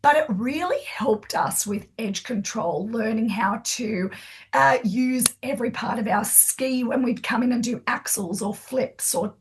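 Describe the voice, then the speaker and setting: A woman's voice: on the high side, slightly rough. An Australian woman whose first language is Swiss German, telling her story with chatty enthusiasm as a guest in a podcast interview.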